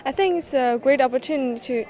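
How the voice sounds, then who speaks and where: A teenage exchange student, a woman whose first language is Chinese, answers an interviewer's questions. Her voice sits high, and she speaks hesitant English, pausing and filling gaps as she searches for words. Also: a little mellow. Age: teens. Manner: hesitant, many fillers while searching for words.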